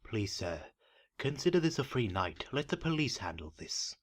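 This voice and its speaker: A man playing an elderly butler in an audio drama, formal and deferential, with concern in a husky voice. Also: mid-range voice, sing-song, moderate pace. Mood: polite concern. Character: elderly male butler, polite, concerned and pleading.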